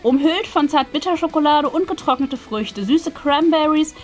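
A woman's voice: sits high, normal speed, darkish tone. A woman, hosting a casual, chatty product review. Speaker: host of an unboxing video. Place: small decorated indoor room. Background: faint music.

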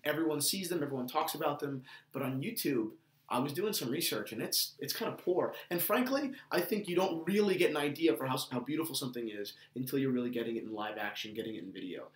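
A man's voice: medium pitch, expressive, fast talker. A man in his twenties with an American accent chatting casually as a show host, often repeating words as he goes. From New Jersey, United States. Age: 20s. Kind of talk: casual chat. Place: small indoor room, seated at a table. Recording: roomy indoor echo.

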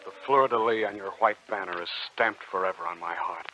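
A man's voice: lowish voice, fairly quick, bright, forceful tone, highs cut off, faint music behind. An actor playing a reverent, fatherly soldier and loyal friend in an old radio drama. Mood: reverent, hushed.

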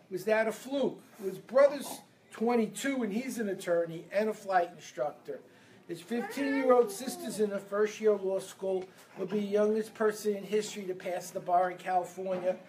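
A man from Brooklyn, giving a lively motivational seminar talk and getting the audience to answer back. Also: sits high, poor audio. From Brooklyn, New York. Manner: energetic, leads audience call-and-response. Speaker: seminar speaker. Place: event room with a live audience.

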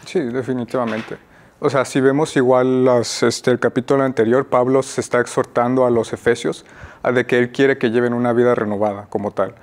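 A man's voice: mid-range voice, steady tone, fairly quick. A man in his twenties with a Mexican accent, an architecture student joining a studio panel thoughtfully on a lapel mic. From Tabasco, Mexico.

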